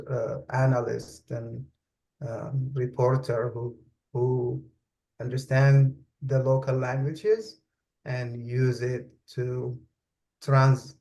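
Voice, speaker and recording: A man, speaking English as an in an interview. He talks slowly and deliberately, pausing often to search for the right words, at a middle pitch for a man. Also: mellow, poor audio. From Saudi Arabia. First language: Arabic. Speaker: guest expert. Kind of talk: interview conversation.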